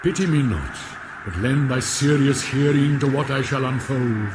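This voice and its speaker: A man's voice: mid-range voice, animated, moderate pace, background noise, natural voice. A man playing the tormented ghost of a murdered royal father in a radio drama, grave and portentous. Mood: solemn, tormented. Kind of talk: classical radio drama acting.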